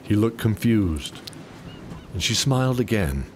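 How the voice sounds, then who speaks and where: A man's voice: fairly low pitch, big pitch swings, a little slow, noisy background. A man reading scripted dramatic narration as the narrator of a fantasy story.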